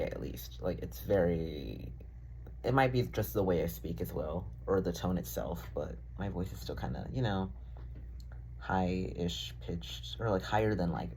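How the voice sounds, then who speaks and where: A man's voice: low-pitched, a little mellow, a little hiss or hum, rough recording. A young man rambling casually in a diary-style vlog, full of fillers, swearing and self-deprecating asides. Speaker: vlogger.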